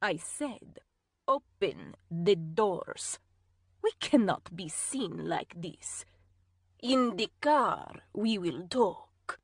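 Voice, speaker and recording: A woman reader voicing a cold, stern, self-righteous woman who speaks slowly and calmly, sometimes in a whisper. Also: mid-range voice, creaky, husky, dry recording, studio quality, pitched below the performer's own voice, full character voice. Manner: slow, calm, measured, at times whispered. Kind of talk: audiobook character voice.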